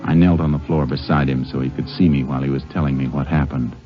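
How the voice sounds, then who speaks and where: Casting: steady, understated lawman for old radio western, very deep bass, muffled period recording. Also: fast talker. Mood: sober narration. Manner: laconic, understated. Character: steady, laconic Texas Ranger man, gently persistent. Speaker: lead actor and first-person narrator. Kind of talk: 1950s radio drama acting. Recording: dull old radio recording, music behind.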